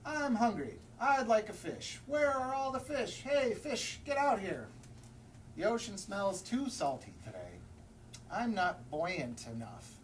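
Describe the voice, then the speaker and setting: A man's voice: sits high, very animated, a little slow, voice pushed up. A man voicing a grumpy, whiny penguin who complains peevishly in a children's picture-book read-aloud. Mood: grumpy, complaining. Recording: distant mic, faint background noise.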